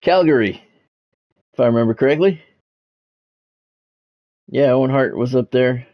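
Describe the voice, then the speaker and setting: A man's voice: medium pitch, measured pace, highs cut off. A man streaming a football video game, mixing play-by-play commentary with casual, blunt, salty chat.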